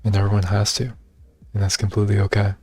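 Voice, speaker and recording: A young man talking in a soft, reserved and hesitant way, with many fillers, in a personal video monologue. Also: on the low side, monotone, fast, slightly rough. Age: young adult. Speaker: vlogger.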